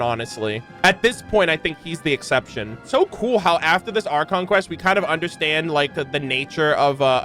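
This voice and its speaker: A man's voice: sits high, a bit bright. A man hosting a gaming reaction video, commenting casually in slangy, informal speech.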